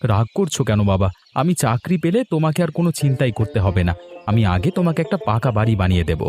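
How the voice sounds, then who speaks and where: A man's voice: medium pitch, big pitch swings, quick pace, a little mellow, faint music behind, close to an everyday voice. A man playing an eager young graduate son in a cartoon, with an insistent, coaxing way of talking. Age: young adult. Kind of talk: Bengali moral-story cartoon dubbing.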